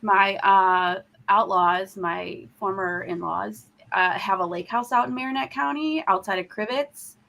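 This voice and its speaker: Bright, gravelly-voiced woman in casual chat. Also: mid-range voice, measured pace, few pauses. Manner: talkative, thinks aloud with fillers. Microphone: video call.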